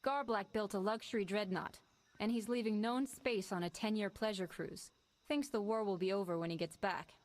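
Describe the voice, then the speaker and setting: An actress voicing a tired female ex-smuggler pilot in a video game, pitching a heist in a brisk, businesslike way. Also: close to an everyday voice. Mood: scheming.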